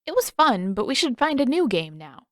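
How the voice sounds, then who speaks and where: A woman's voice: sits high, very animated, normal speed, dry recording, studio quality, pitched above the performer's own voice. A woman voicing an earnest, peace-making young boy with a pleading manner in a story reading.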